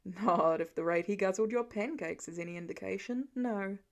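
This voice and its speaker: A woman voicing a gentle, weary middle-aged father in an audiobook story, low-key and plain-spoken with a dry manner. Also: moderate pace, natural voice. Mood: dry, wary.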